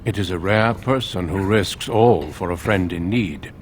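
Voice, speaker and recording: A man playing a calm, resolute warrior recruiter in a fantasy video game, formal and firm. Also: sits low, unhurried, slight background noise.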